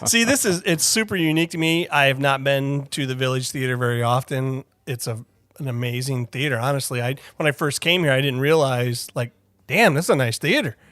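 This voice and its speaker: A man's voice: medium pitch, a little fast, fairly bright tone, no room sound. A man co-hosting a podcast in casual interview chat, joking and enthusiastic. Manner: jokey, enthusiastic. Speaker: podcast co-host. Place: indoors at a small theatre.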